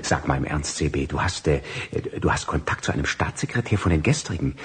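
An actor playing an eager, loyal male sidekick in a radio drama, informal and husky-voiced. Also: a bass voice, expressive, brisk, loud music behind the voice. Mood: probing.

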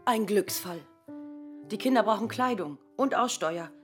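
A woman's voice: medium pitch, unhurried, soft background music, natural voice. An actress playing a poor, blunt, hard-bitten village mother in a German radio drama, in standard German. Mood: muttering, indifferent.

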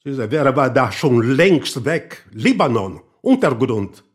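An actor playing an indignant old former revolutionary in a radio drama, scornful and emphatic. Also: male voice, medium pitch, big pitch swings, unhurried. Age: older. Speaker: murder suspect.

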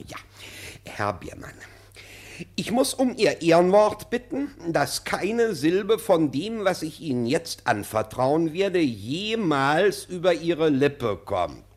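An actor playing a fussy, deferential junior official in a German radio play, stammering with formal politeness and easily exasperated. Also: male voice, fairly high pitch, very animated, slow pace, exaggerated voice. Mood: solemn, hesitant.

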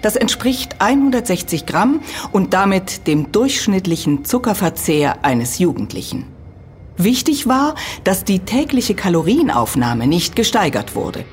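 A woman's voice: medium pitch, big pitch swings, measured pace, soft background music. A woman playing a middle-aged professor in an audio drama, lecturing precisely and patiently correcting others with firm emphasis. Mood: matter-of-fact. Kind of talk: German audio drama dialogue.

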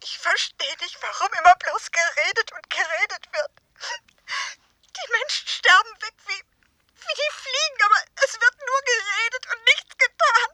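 Desperate crying woman caller in a radio play, really high piercing voice, pleading. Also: normal speed, pushed and bright, no echo, poor audio. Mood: desperate, tearful. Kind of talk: German radio play acting.

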